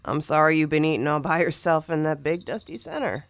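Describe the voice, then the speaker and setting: An actress playing a gruff, kind-hearted, plain-spoken woman in an audio drama, brusque but affectionate. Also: low-pitched, animated, fairly quick. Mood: brusque, sympathetic. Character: gruff, folksy, kind-hearted tough woman, freshly injured.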